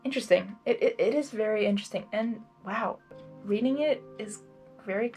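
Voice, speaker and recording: A woman's voice: mid-range voice, normal speed, a little mellow, soft background music. A woman giving gushing, exclamatory fan commentary as she hosts a story reading. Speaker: host of a blind-reading series. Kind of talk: excited fan commentary between story narration.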